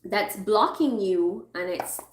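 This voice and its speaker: A woman reading tarot cards for her viewers in a chatty, reassuring way. She is losing her voice and keeps clearing her throat. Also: mid-range voice, slow pace, reverberant, noisy background. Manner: chatty, reassuring, thinks aloud while pulling cards. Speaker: tarot reader.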